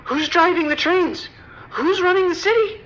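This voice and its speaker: A woman playing a terrified subway commuter on an emergency call in an audio drama, halting, stammering and pleading. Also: very high-pitched, fairly bright tone, lo-fi sound. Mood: panicked.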